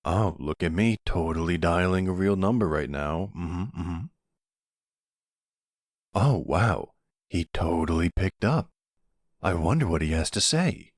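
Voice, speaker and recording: Man playing a deep, smug young mafia boss. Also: lively intonation, dry recording, studio quality. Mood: teasing. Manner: teasing, unhurried, smug. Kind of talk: ASMR boyfriend roleplay acting.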